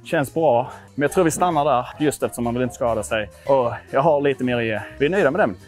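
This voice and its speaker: A man in his twenties from Sweden chatting casually to viewers in a gym vlog, informal, sweary and self-deprecating. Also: mid-range voice. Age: 20s. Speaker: vlogger testing his lifts at a gym. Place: indoor gym. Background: music.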